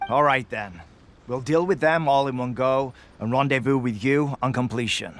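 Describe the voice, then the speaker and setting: A man's voice: mid-range voice, moderate pace, natural voice. A man playing a sober veteran acting captain in a video game, formal, blunt and military in manner. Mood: cautious. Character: sober, straight-talking male veteran soldier, acting captain. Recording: faint ambient noise behind the voice.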